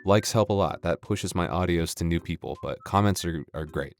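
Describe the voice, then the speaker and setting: A man with a deep voice talks casually to his listeners as an audio creator. He rambles in a dry, joking, self-deprecating way, with soft music behind him. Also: normal speed. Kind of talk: casual, joking outro chat.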